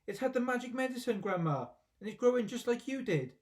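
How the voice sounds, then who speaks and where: A man voicing an eager, excited young boy shouting proudly in a children's story. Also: very high-pitched, a little fast, distant mic, voice pushed up.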